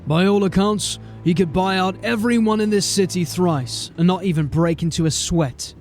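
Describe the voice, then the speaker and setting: A stern, dogged watch sergeant, voiced by a man, formal and suspicious with a fairly high voice for a man. Faint music runs under him, in the style of an audio drama. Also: normal speed, darkish tone, lightly acted. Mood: wry. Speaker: police sergeant.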